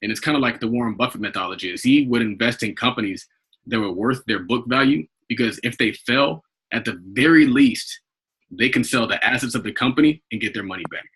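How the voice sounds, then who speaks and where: An American man with a husky voice leading an informal investment club call, talking in a rambling, conversational way. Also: medium pitch, a little fast, fairly bright tone, rough recording. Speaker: investment club leader hosting a conference call. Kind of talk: informal group call talk, rambling updates. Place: online group video call.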